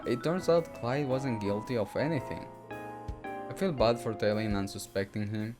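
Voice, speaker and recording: A man's voice: medium pitch, moderate pace. A man hosting a game playthrough, reacting with sassy exclamations between the lines of dialogue. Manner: sassy exclamations, cheering on characters. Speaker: gaming video host. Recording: music behind the voice.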